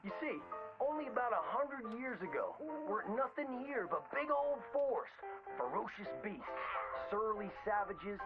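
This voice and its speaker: Hammy, high-voiced Southern frontier showman. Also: sing-song, normal speed, lo-fi sound. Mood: folksy, boastful. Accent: American Southern, old frontier drawl. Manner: hammy, folksy, exaggerated storyteller delivery. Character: folksy theatrical frontier showman spinning boastful tall tales. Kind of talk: theatrical old-time storytelling narration. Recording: band-limited old-radio sound with prominent music. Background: music.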